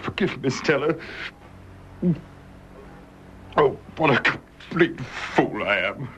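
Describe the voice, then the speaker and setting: Slow-spoken, anguished British invalid husband. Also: male voice, medium pitch, lively intonation, fairly bright tone, slight background noise. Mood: despairing. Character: bedridden invalid young husband, despairing and nervy. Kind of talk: BBC radio drama acting.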